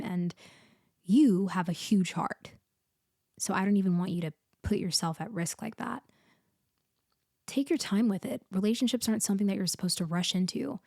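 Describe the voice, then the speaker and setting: A woman's voice: medium pitch, dark tone, no room sound, natural voice. Woman acting a casual, caring best friend, dry close sound. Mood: tender, sincere. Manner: casual, conversational, hesitant pauses. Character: blunt but caring young female best friend, comforting. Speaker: audio roleplay performer. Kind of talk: intimate roleplay ASMR, casual one-sided conversation.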